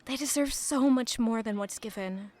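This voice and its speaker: A woman's voice: a little high, normal speed, darkish tone, lightly acted. A woman playing an earnest, idealistic young noblewoman in an audio drama, with a light way of speaking. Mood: reflective, earnest.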